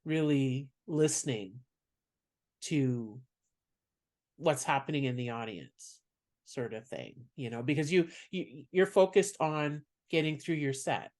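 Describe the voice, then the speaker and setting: A man from Canada with a soft voice, a stand-up comedian speaking as a podcast guest in reflective, unhurried talk. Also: medium pitch. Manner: reflective, explains at length. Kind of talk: casual interview chat.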